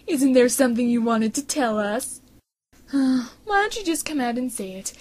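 A woman's voice: high-pitched, expressive, normal speed, darkish tone. A woman voicing a spiteful, falsely sweet teenage schoolgirl bully in an amateur manga fandub, with mocking, theatrical taunts. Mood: taunting.

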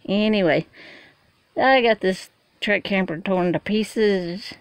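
An older woman giving a plain, unhurried show-and-tell of a project while filming with a handheld phone. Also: medium pitch, smooth, no echo. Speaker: vlogger. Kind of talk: casual walkthrough vlog. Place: inside a small truck camper, then on its roof outdoors.

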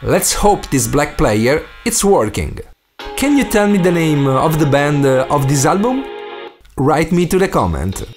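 Lively Italian-accented man narrating. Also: a little high, measured pace, soft background music. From Italy. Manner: non-native English phrasing. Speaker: DIY video maker and host. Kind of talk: scripted tutorial voice-over.

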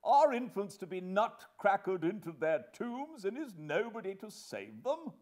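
Man acting an exasperated husband, high and strained. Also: sing-song, unhurried, darkish tone. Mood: desperate. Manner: desperate, exclamatory protesting. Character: exasperated, helpless, despairing husband and father. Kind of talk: audiobook character voice.

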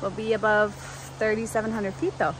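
A young adult woman with a medium-pitched voice talks casually and rambles a little, as in a personal video diary. It is recorded on a handheld phone outdoors, with faint ambient noise behind her. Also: level tone, a little fast. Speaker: vlogger hiking a long trail. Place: outdoors in woodland. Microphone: phone at arm's length.

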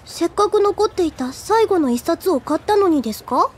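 A woman's voice: extremely high pitch, expressive, normal speed, slight background noise. A woman playing an earnest, deferential younger schoolgirl in an audio drama, polite, sweet and a little timid. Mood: anxious, questioning. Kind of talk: Japanese audio drama acting.

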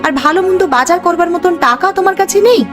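A woman voicing a bossy village wife and mother in a cartoon, animated and insistent. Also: extremely high pitch, quick pace, music in the background.

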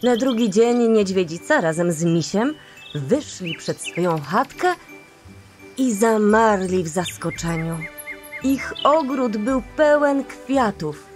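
A woman narrating a children's story in a calm, unhurried way. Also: mid-range voice, faint music behind.

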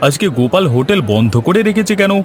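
A man's voice: sits high, very animated, fast talker, slight background noise. A man voicing a scheming, greedy eatery owner in a cartoon story, with a bossy, gloating manner. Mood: bossy, sly. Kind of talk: Bengali cartoon story voice acting. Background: music.

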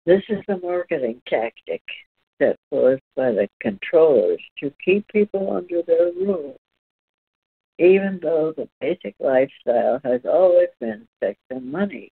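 A man speaking in halting, deliberate phrases, as if reading aloud and discussing a spiritual text. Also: fairly high pitch, expressive, breathy edge. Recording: thin phone-like line.

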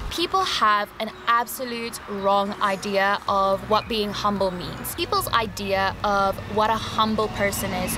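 A young woman in her twenties giving an energetic, dramatic faith talk to camera from a garden. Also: medium pitch. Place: outdoor garden patio. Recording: outdoor background noise.

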